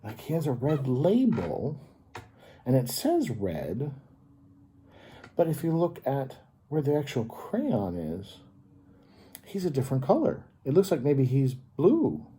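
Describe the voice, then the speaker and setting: Guy doing kids story time, really mellow slightly husky voice, kinda teacherly, asks little questions as he reads. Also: mid-range voice, wildly swooping pitch. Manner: teacherly, pauses to ask the listener simple questions. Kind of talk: read-aloud picture book for children with explanatory asides and questions.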